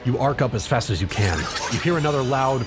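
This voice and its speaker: A man's voice: mid-range voice, lively intonation, fast, over loud music. A man narrating a comedic story in the second person, as a dramatic reader.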